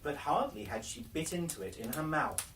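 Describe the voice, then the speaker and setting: A man reading a fairy tale aloud as a storyteller, with theatrical, deliberate phrasing. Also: a little high, very animated. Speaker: library storyteller. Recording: noisy, distant microphone, clicks.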